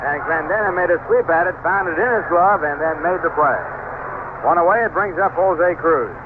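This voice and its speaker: A man's voice: a little high, normal speed. A man with an American accent calling baseball play-by-play in the style of 1970s radio. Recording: noisy, tinny old AM radio broadcast.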